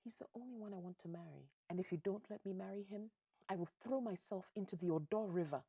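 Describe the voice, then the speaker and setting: Woman acting a husky, pleading lovestruck daughter. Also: medium pitch, fast, warm tone, dry recording, clean studio sound, far from the mic, pitched above the performer's own voice. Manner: pleading, dramatic.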